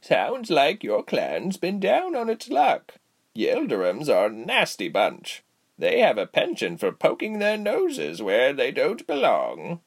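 A man voicing a cheerful, giggly elderly village healer woman in a storybook, with a slow, kindly teasing delivery. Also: fairly high pitch, huge pitch swings, creaky, husky, pitched above the performer's own voice, full character voice. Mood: disapproving. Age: older. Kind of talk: storybook character voice.

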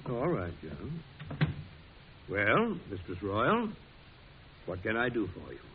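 An actor playing a courteous, wry soldier president in an old-time radio drama, speaking in a measured, folksy way. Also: male voice, lowish voice, huge pitch swings, background noise, heavily acted. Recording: muffled, rough old radio recording.

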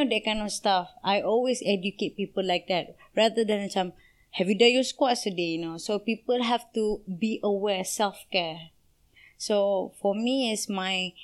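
A Malaysian woman, a personal trainer, answering interview questions in an energetic, strong voice, mixing Malay and English. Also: medium pitch.

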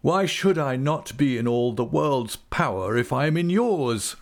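A man voicing a distraught, self-loathing older gentleman in a story, impassioned and lamenting, with drawn-out words. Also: mid-range voice, expressive, pitched above the performer's own voice. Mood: desperate.

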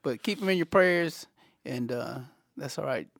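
A man's voice: mid-range voice, expressive, unhurried, creaky, a bit bright, no room sound. A man hosting a faith podcast and wrapping up the show in an easygoing, chatty way. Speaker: podcast host. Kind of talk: casual podcast sign-off.

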